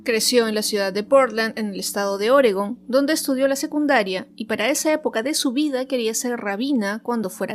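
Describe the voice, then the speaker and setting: Higher-pitched woman narrating true crime. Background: background music.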